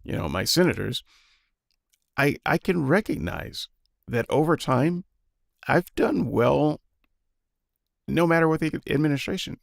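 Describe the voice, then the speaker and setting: Man, fifties, gravelly voice, dry close recording, reflective casual talk, many pauses. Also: fairly high pitch, expressive, dry recording. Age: 50s. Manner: reflective, halting with fillers and restarts. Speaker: podcast guest.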